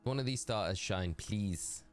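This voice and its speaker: A man's voice: on the low side, measured pace, faint music behind. A man with a Geordie accent chatting casually and rambling on a live game stream, talking to his chat.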